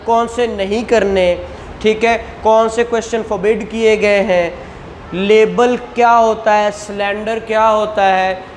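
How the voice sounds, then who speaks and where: Classroom law teacher with Pakistani Punjabi accent, informal and explanatory, mid-pitched, recorded with slight room noise. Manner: conversational, explanatory, repeated check-ins and rhetorical questions. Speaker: law lecturer. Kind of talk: informal classroom lecture with questions to students.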